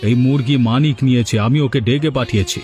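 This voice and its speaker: A man acting a village headman in a cartoon folk tale. His voice is medium in pitch, reasonable and placating, with faint music underneath. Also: fast, a little mellow, natural voice. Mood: soothing.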